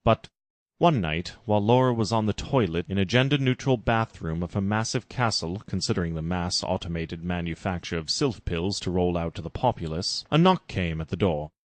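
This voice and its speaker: A man's voice: low-pitched, animated, normal speed, no echo. A man giving a scripted narration of a parody story. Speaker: reader.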